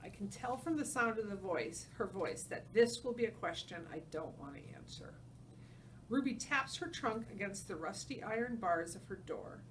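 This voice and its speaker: A woman's voice: mid-range voice, expressive, moderate pace. A woman reading a story aloud as a teacher, narrating and putting questions to her students. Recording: distant mic with faint background noise.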